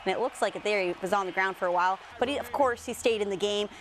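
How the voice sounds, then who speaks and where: A woman's voice: mid-range voice, fast talker, a little hiss or hum. A woman co-anchoring and reporting in scripted TV sports news narration. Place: TV broadcast.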